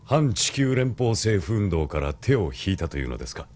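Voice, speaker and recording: Deep-voiced, calculating military colonel. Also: male voice, very animated, darkish tone. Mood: probing, polite. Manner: measured, formal, probing. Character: cool, calculating male military colonel, formally polite. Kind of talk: Japanese audio drama acting.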